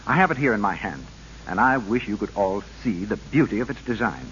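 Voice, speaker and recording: A man announcing on 1940s radio, delivering a polished, persuasive scripted sponsor pitch. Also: animated, a little fast, fairly bright tone. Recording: hissy, dull old radio broadcast.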